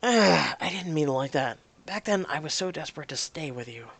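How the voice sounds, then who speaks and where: A narrator voicing a desperate, apologetic young man who pleads and defends himself haltingly, in an audio drama. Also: medium pitch, lively intonation, vocal fry, a bit bright.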